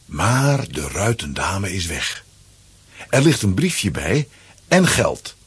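A male narrator tells a children's adventure story in a lowish, very husky voice. His intonation is animated, and the recording is close and dry. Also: takes it slowly, no echo. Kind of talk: scripted storytelling for a children's audio play. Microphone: close, dry studio microphone.

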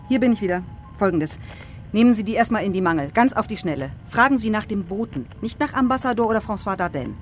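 An actress playing a tough, hot-tempered police investigator who is blunt, commanding and sarcastic, in a radio crime drama. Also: female voice, medium pitch. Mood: brisk, businesslike.

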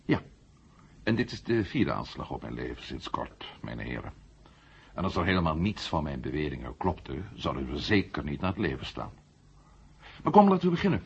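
A confident maverick ex-astronaut in an old radio drama. His voice is very low and animated, and he argues point by point. The recording is muffled. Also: normal speed, creaky, breathy edge, heavily acted. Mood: insistent. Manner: argumentative, rhetorical, building his case point by point. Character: outspoken ex-astronaut turned maverick writer, male, confident and provocative. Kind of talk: 1970 Dutch radio drama acting.

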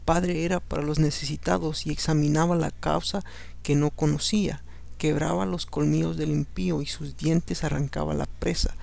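Man, spanish native, bible podcast host, raspy fry, monotone delivery, casual asides. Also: on the high side, normal speed. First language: Spanish, English second. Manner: conversational, slips English words in. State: tired late at night. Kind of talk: reading scripture aloud with informal commentary.

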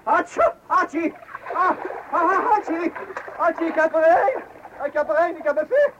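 Excitable high-voiced amateur angler. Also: male voice, measured pace, highs cut off. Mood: frantic excitement. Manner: stammering, repeating words when flustered. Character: excitable, boastful amateur angler, naive and easily flustered. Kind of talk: radio serial comedy acting. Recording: old radio recording with clear hiss.

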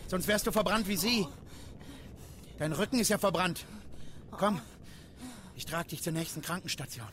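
A man's voice: high-pitched, normal speed, fairly bright tone, a little hiss or hum. A man playing a grim but kindly corpse hauler in a radio drama. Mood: gruff kindness. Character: grim, bewildered corpse-hauling workman, gruffly kind.

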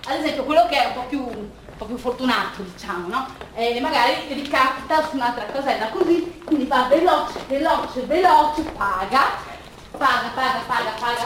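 An actress playing an agitated, rambling woman in a bittersweet theatre monologue, explaining in a halting, repetitive, animated way to her audience. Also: high voice. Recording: roomy, distant stage recording, faint noise.

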